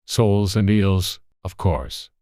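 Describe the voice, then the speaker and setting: A narrator voicing a solemn, impatient griffin with a deep voice in a storybook reading. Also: slow pace, dark tone, dry recording, studio quality. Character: gruff, impatient male griffin creature, solemn know-it-all.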